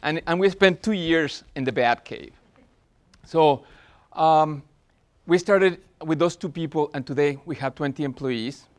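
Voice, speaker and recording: A man's voice: on the high side, unhurried. Earnest accented man giving a workshop talk. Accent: Spanish-accented English. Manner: earnest, with frequent fillers and restarts. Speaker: workshop presenter. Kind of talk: business workshop presentation.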